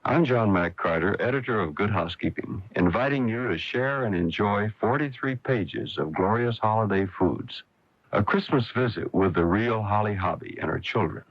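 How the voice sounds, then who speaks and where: A man with a husky voice reading a scripted radio advertisement. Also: fairly low pitch, lively intonation, moderate pace, dry recording. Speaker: magazine editor as advertiser. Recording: dull lo-fi vintage radio.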